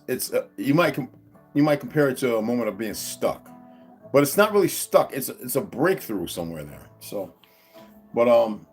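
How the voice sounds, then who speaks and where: A man chatting casually to his viewers at home, wandering from topic to topic with many restarts. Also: slightly rough. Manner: meandering, restarts, talks to his dogs in a high coaxing voice. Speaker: vlogger. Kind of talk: rambling casual vlog to viewers. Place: indoors at home.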